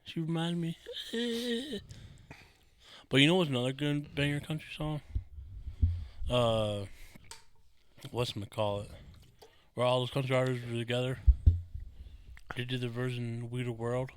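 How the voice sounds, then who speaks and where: A man's voice: mid-range voice, measured pace, long pauses, clean tone. A young man co-hosting a casual podcast, laid-back and teasing, often opening with "yo.". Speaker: podcast co-host. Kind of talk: casual banter.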